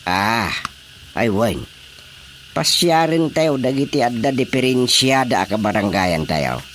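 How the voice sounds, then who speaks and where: Animated, inquisitive village official. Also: male voice, mid-range voice, a little slow, slight background noise. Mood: concerned. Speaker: barangay official. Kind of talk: Ilocano radio drama comedy acting.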